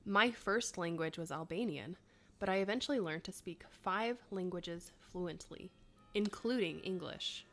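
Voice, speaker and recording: A woman's voice: on the low side, a little slow, fairly bright tone, soft background music. A woman in her thirties co-hosting a podcast, reading quiz clues and chatting, laughing often. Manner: chatty, laughs often, self-mocking asides. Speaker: podcast co-host.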